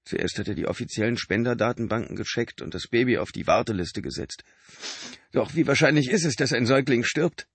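A man's voice: medium pitch, animated, brisk, creaky, breathy edge, no echo, pitched above the performer's own voice. A man voicing an exhausted adult man who pleads urgently and breathlessly with his brother. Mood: urgent pleading.

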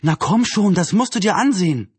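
A man voicing a bold, brisk tomboy girl with a blunt manner in a storybook reading. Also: high-pitched, animated, husky, dry recording, voice pushed up, full character voice. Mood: urgent.

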